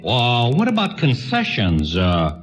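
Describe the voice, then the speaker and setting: Grumpy old vaudevillian, lively intonation. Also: male voice, medium pitch, slow. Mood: stern. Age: older. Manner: curt, dismissive, firm. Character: stubborn, grumpy old male vaudevillian, shrewd negotiator. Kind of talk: radio play comedy acting. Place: stage before an audience.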